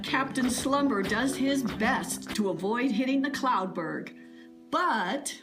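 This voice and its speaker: A woman narrator tells a children's story at an easy, slow pace. Her intonation is lively and theatrical, with guitar music clearly behind her. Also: a little high. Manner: addresses young listeners, theatrical.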